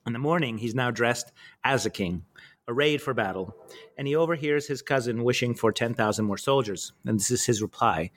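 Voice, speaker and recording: A man's voice: mid-range voice, brisk. An American man hosting a podcast, giving a chatty, self-deprecating spoken introduction. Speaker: podcast host. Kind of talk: conversational introduction.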